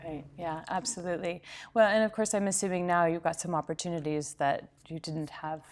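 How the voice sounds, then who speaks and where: Lower-voiced woman hosting an interview. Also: fairly even intonation, brisk. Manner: encouraging, laughs easily, asks follow-up questions. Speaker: TV interview host. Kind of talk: conversational interview.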